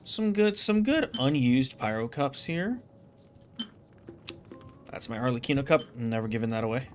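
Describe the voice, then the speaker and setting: A man's voice: mid-range voice, animated, moderate pace, faint music behind. A man giving casual, rambling game commentary, thinking aloud as he streams.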